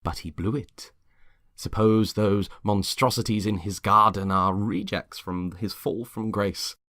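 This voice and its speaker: A man's voice: on the low side, steady tone, measured pace, sharp tone, no echo, clean studio sound. A man voicing a chirpy, gossipy suburban wife in her thirties in a dramatic script reading, with a gushing, insinuating manner.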